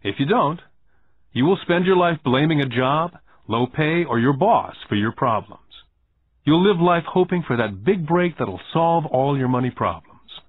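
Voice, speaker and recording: A man voicing a stern, calm businessman mentor who lectures pointedly and in measured phrases in an audiobook. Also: fairly high pitch, a little hiss or hum, voice pushed up. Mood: stern, instructive. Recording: muffled, phone-like narrow band.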